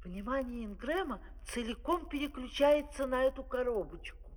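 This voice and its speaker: A man's voice: extremely high pitch, lively intonation, moderate pace. A man narrating a comic fairy-tale opera as its storyteller, in a scripted theatrical style. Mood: vivid, expository. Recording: vintage vinyl record, hall reverb.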